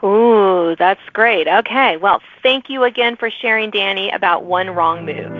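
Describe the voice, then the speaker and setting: A woman's voice: medium pitch, a little slow, phone-quality sound. A woman hosting a conversational podcast interview with authors. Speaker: podcast host.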